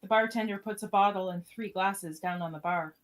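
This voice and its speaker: A woman's voice: medium pitch, a little fast. A woman reading scripted scene directions as narrator of a podcast radio play.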